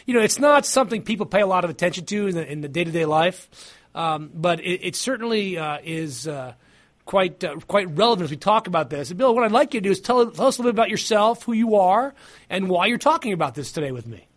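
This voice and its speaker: A man hosting a talk radio show, speaking haltingly with many fillers and restarts in an easygoing way. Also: high voice, expressive, fairly bright tone.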